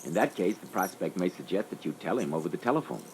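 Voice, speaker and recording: A man's voice: sits low, animated, fast, a little mellow. A man giving scripted instructional critique as a panelist on a sales training record. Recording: faintly noisy old reel-to-reel training record.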